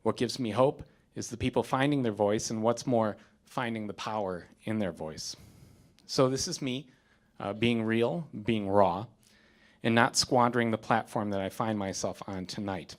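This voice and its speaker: A man in his thirties speaks as a community responder after a stage performance. He reads from notes in a theater, halting with fillers at first and building to firm declarations. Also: on the low side. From United States. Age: 30s. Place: theater, in front of an audience.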